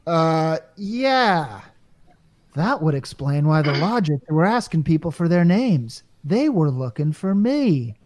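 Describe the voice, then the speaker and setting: A flustered, earnest company man played by a woman talks slowly in a lowish, lively voice. He is hesitant and defensive, in old radio comedy style. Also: heavily acted.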